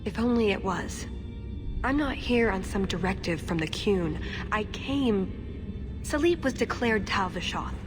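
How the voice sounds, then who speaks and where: Actress as earnest elf woman, idealistic, wry touches, game dialogue, long reverb, clear music underneath. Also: mid-range voice, lively intonation, a little slow, lightly acted. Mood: earnest, troubled. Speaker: video game character.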